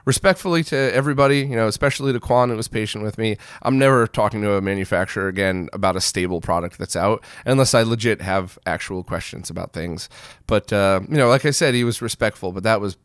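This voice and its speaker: Man, smooth clear voice, dry close sound, casual news and review commentary, informal, opinionated host. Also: fairly low pitch, quick pace, dry recording. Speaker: host of a weekly retro gaming news roundup.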